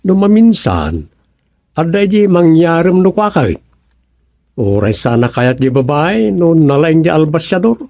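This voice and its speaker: Higher-pitched, bantering Ilocano son. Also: male voice, sing-song, unhurried, darkish tone, no echo, clean studio sound. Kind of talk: Ilocano radio comedy-drama acting.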